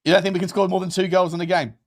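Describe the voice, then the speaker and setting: A man's voice: high voice, flat delivery, racing. A man hosting a casual football talk show, matey and teasing, repeating phrases for emphasis. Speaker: show host. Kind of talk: casual bantering chat.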